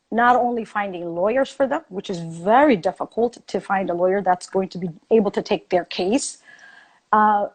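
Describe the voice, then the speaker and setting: A woman's voice: medium pitch, low-quality recording. A woman from Ethiopia in a heated debate, talking passionately and insistently, repeating phrases for emphasis.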